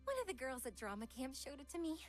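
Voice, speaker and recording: A woman's voice: high-pitched, expressive, quick pace, faint music behind. A woman voicing a refined, affectionate young psychologist in a visual novel game, with genteel, careful phrasing. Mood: playful.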